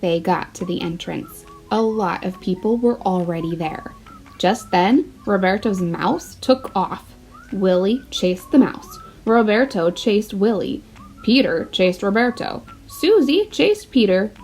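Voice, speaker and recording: A woman's voice: medium pitch, animated, measured pace, darkish tone, soft background music, poor audio. A woman, a first grade teacher, reading a picture book expressively and putting questions directly to young children.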